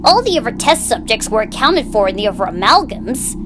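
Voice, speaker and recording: A woman's voice: a little high, sing-song, fairly quick, noisy background. A woman playing a small, snappish, guilt-haunted talking flower in a comic dub, speaking hesitantly and irritably. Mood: confessional. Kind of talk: dramatic comic dub acting.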